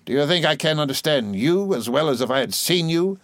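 Fierce elderly aunt, put on by a male reader, blunt, indignant, raised pitch. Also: lively intonation, fairly quick, fairly bright tone, no echo, clean studio sound. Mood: scolding. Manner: indignant and scolding.

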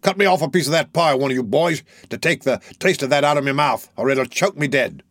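A man voicing a gruff, brutal adult male burglar in a storybook reading, growling and surly. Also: mid-range voice, fairly quick, a bit bright, no room sound, studio quality, close to an everyday voice.

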